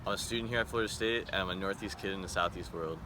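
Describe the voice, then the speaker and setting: A twenty-year-old man delivers a brisk self-introduction as a dating contestant. His voice is on the low side and talks fast over clear outdoor noise. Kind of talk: brief self-introduction pitch. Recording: noisy outdoor ambience.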